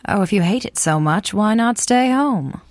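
A woman's voice: medium pitch, expressive, normal speed, dry recording, clean studio sound, close to an everyday voice. A woman playing a spirited, inquisitive girl Friday in an old-time radio drama parody. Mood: needling.

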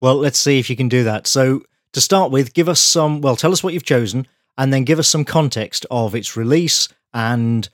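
A man hosting a podcast, reading a brisk scripted intro and then interviewing his guest. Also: medium pitch, no room sound, studio quality. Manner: brisk, articulate presenter. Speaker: podcast host. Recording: breathy voice over faint guitar music.

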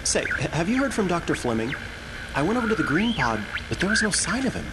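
An actor playing a nervous colleague at a polar research station, speaking hesitantly in a radio drama. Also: male voice, sits high, very animated, fast talker, very noisy. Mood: casual. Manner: anxious, hesitant.

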